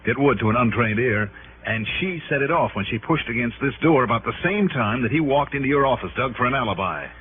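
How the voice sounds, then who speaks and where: An actor playing a shrewd, dogged insurance investigator in an old radio detective drama, probing and thinking aloud with emphasis. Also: male voice, mid-range voice, animated, fast, narrow, tinny audio, background noise, lightly acted. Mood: confident, explaining. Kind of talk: 1950s-60s radio detective drama acting. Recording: band-limited old radio recording.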